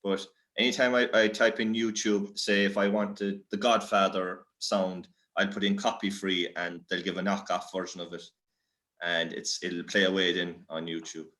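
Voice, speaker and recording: Irish male workshop speaker, fairly low voice, blunt and off-the-cuff. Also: flat delivery, moderate pace, bright, forceful tone. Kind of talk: casual workshop talk.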